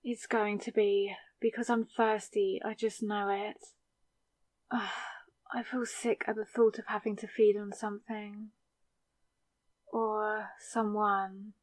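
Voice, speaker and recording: Woman performing a sarcastic fledgling vampire, slow pacing, close dry sound, hesitant, conversational, ASMR audio drama. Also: medium pitch, flat delivery, dry recording, close to an everyday voice. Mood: uneasy, resigned. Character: newly turned young woman vampire, sarcastic, rattled, defiant. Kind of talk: scripted ASMR audio roleplay.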